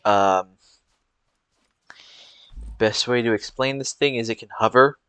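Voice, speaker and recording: A man's voice: medium pitch, slow, sharp tone, clear, resonant tone, dry recording. A young man giving rambling, casual commentary over gameplay, with frequent fillers and restarts. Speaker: gameplay video creator.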